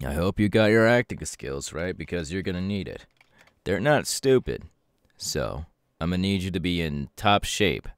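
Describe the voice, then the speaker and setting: A serious young hero mentor played by a man speaks in a low voice, earnest and measured. It is an intimate ASMR roleplay delivery, recorded very dry, as if instructing a trusted protégé. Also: sing-song, clear, resonant tone, no echo.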